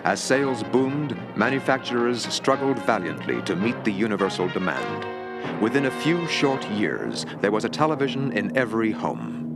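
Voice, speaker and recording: A man's voice: lowish voice, big pitch swings, normal speed, creaky. A man narrating a mock documentary in a grave, dramatic tone. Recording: music underneath.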